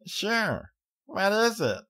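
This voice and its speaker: Guy doing a little kid pony voice, way high, sleepy n sweet, audiobook character. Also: big pitch swings, extremely slow, bright, forceful tone, exaggerated voice. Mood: curious. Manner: tired, childlike. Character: sleepy young filly, small, sweet and curious.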